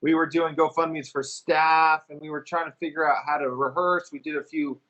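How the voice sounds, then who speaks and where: A man's voice: fairly high pitch, little pitch movement, normal speed, a bit bright, muffled recording. A middle-aged man talking casually as a musician guest, telling his story in a conversational way.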